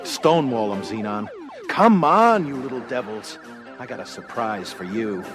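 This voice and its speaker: Male actor as cocky base captain, lively intonation, commanding, audio adventure drama, music bed. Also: mid-range voice, normal speed, lightly acted. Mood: cocky, taunting. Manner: swaggering, commanding. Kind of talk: children's audio adventure acting.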